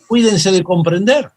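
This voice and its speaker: An older Argentine man with a Rioplatense accent, a psychoanalyst telling stories in an interview, halting with many fillers and restarts. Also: high voice, background noise. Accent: Rioplatense Spanish. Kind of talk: reflective interview storytelling.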